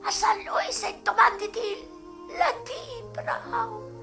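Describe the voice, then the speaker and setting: A woman voicing a dying old ex-courtesan aunt in a radio monologue, with a clucking, tearful voice and halting, pleading delivery. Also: very high-pitched, gravelly, sharp tone, soft background music, pitched above the performer's own voice, exaggerated voice. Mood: wistful confession.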